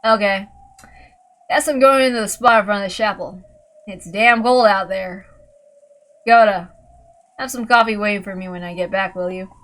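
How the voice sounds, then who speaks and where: A woman voicing a casual, gruff middle-aged father in a visual novel, with a brisk, slangy, offhand manner. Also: medium pitch, a bit bright, lightly acted.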